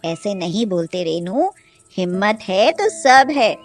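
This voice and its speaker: A woman voicing a rich, confident, generous friend in a cartoon story, speaking emphatically and briskly. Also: mid-range voice, big pitch swings, faint music behind, voice pushed down. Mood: firm, encouraging.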